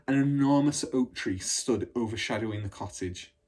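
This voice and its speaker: A man reading a children's storybook aloud like a teacher, in an expressive, measured storytelling manner. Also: medium pitch. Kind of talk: storybook narration.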